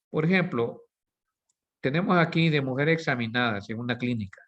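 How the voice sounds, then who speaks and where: A man lecturing in a middle-range voice, walking his students through one example after another and tagging short check-in questions onto each point. The recording is a little dull and lo-fi, like a computer mic on an online class. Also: medium pitch. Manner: asks "¿sí?" and "¿verdad?" constantly; self-correcting, explains with everyday examples. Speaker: teacher.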